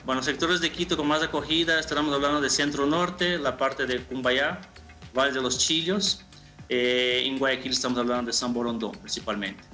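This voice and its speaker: A man in his thirties answering interview questions informally, a little haltingly and with repeated phrases, from a room over a video call. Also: medium pitch, fairly even intonation, pushed and bright, faint music behind. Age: 30s. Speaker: marketing manager, interview guest.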